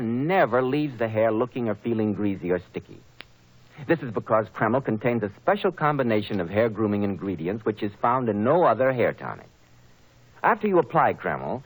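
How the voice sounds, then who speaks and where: Old time radio announcer guy, very animated pitch, polished salesman delivery, vintage recording. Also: low-quality recording.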